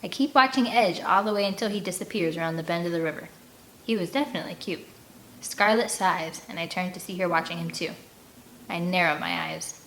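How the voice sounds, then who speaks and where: A woman narrating a first-person story in an animated, expressive read-aloud manner. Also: lowish voice, fairly quick, low-quality recording. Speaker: audiobook reader. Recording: clear background ambient noise.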